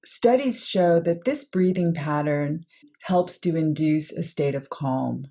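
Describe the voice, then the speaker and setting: A woman with a low, slightly husky voice guides a meditation. Her tone is mellow and relaxed, and she delivers short, measured phrases with unhurried pauses between them. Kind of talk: guided meditation, slow soothing instruction.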